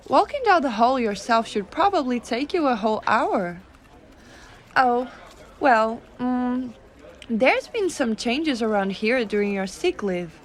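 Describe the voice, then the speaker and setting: A high, very expressive female voice for a giantess secretary, acted by a woman in an ASMR roleplay. She is gentle and doting, coaxing the listener with soft reassurance and a teasing, quietly dominant edge. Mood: gentle, reassuring.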